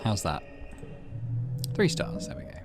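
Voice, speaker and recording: A man's voice: a little slow, over music. A man hosting a game playthrough, thinking aloud casually and narrating story text. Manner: thinks aloud, hesitant fillers. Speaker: let's play host.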